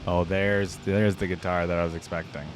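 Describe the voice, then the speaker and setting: A man's voice: sits low, level tone, normal speed. A man giving casual reaction commentary as a video host, thinking aloud as he goes. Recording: music playing behind the voice. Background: game theme music.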